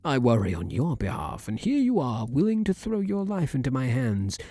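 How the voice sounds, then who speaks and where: A man's voice: mid-range voice, huge pitch swings, normal speed, warm tone, no room sound. A man playing a vampire king, an ardent, protective lover with courtly, formal phrasing, in an intimate ASMR roleplay. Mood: anxious, reproachful.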